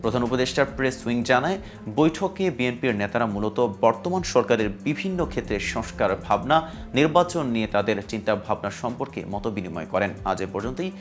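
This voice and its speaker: A man with a Bangladeshi accent presenting scripted news in a formal, measured newsreader delivery. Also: medium pitch, lively intonation. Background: music bed.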